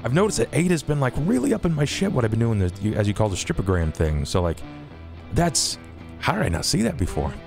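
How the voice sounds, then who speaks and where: A man roleplaying casually as a tabletop game player in a halting, stammering way. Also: medium pitch, big pitch swings, darkish tone. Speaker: player in an actual play podcast. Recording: music clearly behind voice.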